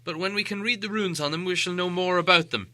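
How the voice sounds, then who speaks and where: A man's voice: high voice, fast talker, pushed and bright, no echo, voice pushed up. A man voicing a commanding old wizard from a storybook, testy and emphatic, lecturing as he goes. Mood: grave, appraising. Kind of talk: acted audiobook dialogue.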